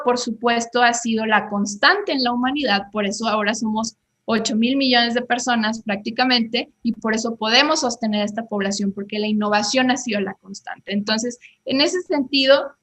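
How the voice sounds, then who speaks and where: Bright-voiced woman explaining economics. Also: a little high. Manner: explains with examples, many "eh" fillers. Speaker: guest on economics show. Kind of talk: conversational explanation.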